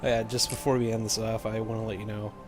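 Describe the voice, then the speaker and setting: A man's voice: fairly low pitch, little pitch movement, quick pace, over music. A man chatting casually as a co-host, riffing on a story, repeating himself and often laughing. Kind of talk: casual joking chat.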